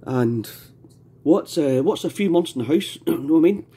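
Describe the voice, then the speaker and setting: A Scottish man speaks in a husky, slightly mellow voice, fairly high for a man. He chats casually in the manner of a radio amateur talking on air. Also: expressive, normal speed. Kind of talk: casual two-way radio chat. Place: indoors at a home radio desk.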